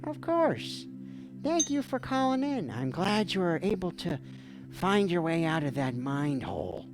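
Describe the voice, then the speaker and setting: A woman hosting a livestream call-in show as a mock therapist, dreamy, theatrical and goofy, with a gravelly voice. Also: on the low side, sing-song, a little slow. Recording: music playing behind the voice. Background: background music.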